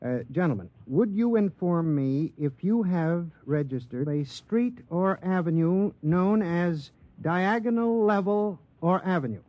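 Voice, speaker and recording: Meek young lawyer, old radio style: sheepish, animated pitch, dry muffled sound. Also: male voice, measured pace, few pauses, dry recording. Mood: distracted. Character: hapless, lovestruck, meek young male lawyer. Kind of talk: 1950s radio comedy-drama acting. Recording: muffled 1950s radio recording.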